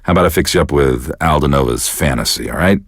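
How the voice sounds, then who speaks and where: An actor playing a bitter, self-pitying middle-aged American radio DJ in a video game, with deadpan, profane, casual patter. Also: male voice, rumbling low, fast, no room sound, clean studio sound. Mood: weary, sardonic.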